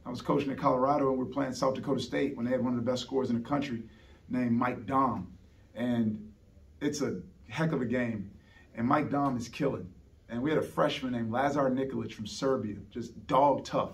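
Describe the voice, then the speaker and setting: A man's voice: mid-range voice, steady tone, fairly quick. A middle-aged man, a basketball coach, answering postgame questions earnestly at a press conference, often repeating words as he restarts. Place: press conference room, seated at a table. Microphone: table microphone on a stand. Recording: heard from some distance.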